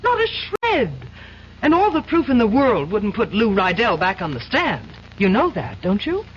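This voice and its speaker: A woman's voice: a little high, huge pitch swings, moderate pace. An actress playing a cool, pragmatic girlfriend in an old-time radio drama, firm, controlled and reproachful. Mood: scornful, warning. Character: cool, pragmatic girlfriend, daughter of a powerful man. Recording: dull, faintly noisy old radio recording.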